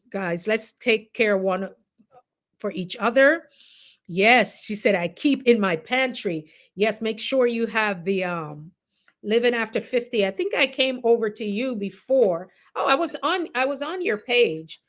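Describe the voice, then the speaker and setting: A woman with a Jamaican accent hosting a casual livestream, talking directly to viewers in a conversational, encouraging way. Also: mid-range voice. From Caribbean, Jamaica. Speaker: livestream host, coach.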